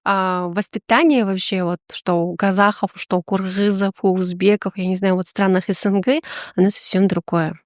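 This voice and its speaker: A woman's voice: mid-range voice, fairly even intonation, normal speed, dry recording, studio quality. A woman hosting a podcast interview, chatty, laughing often and stretching out her phrases. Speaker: podcast host. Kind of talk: casual conversational interview.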